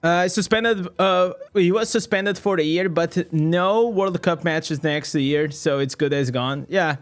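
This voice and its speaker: A man's voice: fairly high pitch, measured pace, faint music behind. A young man from Portugal, a native Portuguese speaker, chatting casually on a live stream, rambling and swearing with many restarts. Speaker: streamer reading chat.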